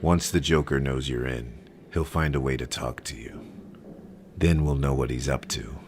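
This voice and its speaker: Gravelly, very deep grim vigilante. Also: male voice, normal speed, slight background noise. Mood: stern, urgent. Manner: terse, clipped orders. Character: grim, commanding male masked vigilante, terse and controlled. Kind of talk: ASMR roleplay acting.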